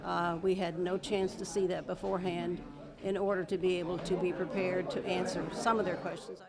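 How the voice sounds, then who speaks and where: An interviewee makes an unscripted statement in an even, level tone. A crowd can be heard behind the voice. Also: medium pitch, fairly quick, creaky. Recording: crowd noise behind, outdoors.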